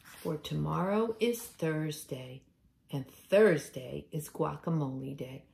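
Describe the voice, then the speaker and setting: A middle-aged woman reading a picture book aloud for young children in a deliberate, expressive storytelling way, indoors at home. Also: sits low, vocal fry.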